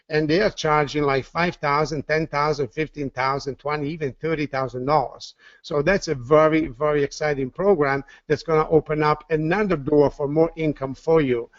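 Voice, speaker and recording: This is a man with an Italian accent giving an upbeat sales pitch on a webinar. His voice sits a little high, and he often repeats words and says "uh" as he goes. The audio is dull and dry, like a lo-fi computer mic. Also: no room sound. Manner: enthusiastic, repeats words with fillers. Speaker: webinar presenter.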